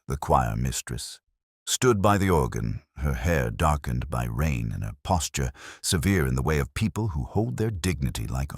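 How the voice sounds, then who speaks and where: Brooding middle-aged detective, extremely low voice, expressive rise and fall, measured literary radio drama narration, wry, melancholic. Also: male voice, slightly rough, dry recording, studio quality, full character voice. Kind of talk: scripted first-person radio drama narration.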